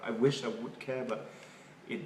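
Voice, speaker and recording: A man from Germany with a German accent, a native German speaker, giving halting, thoughtful interview answers and often repeating words. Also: medium pitch, flat delivery, darkish tone. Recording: echoey room, far from mic, noisy.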